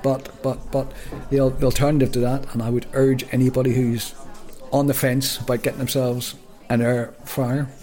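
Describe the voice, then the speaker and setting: A man's voice: medium pitch, little pitch movement, normal speed, mellow. A man co-hosting a podcast, chatting casually and jokily, often stumbling and restarting his sentences. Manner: chatty and jokey, with frequent stammered restarts. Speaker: podcast co-host. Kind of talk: casual chat.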